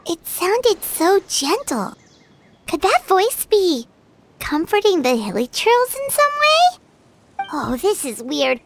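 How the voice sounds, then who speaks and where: A woman voicing a tiny, excitable, childlike fairy companion girl in a video game. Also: extremely high pitch, takes it slowly, background noise, full character voice. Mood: puzzled. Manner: speaks of self in third person, exclamatory.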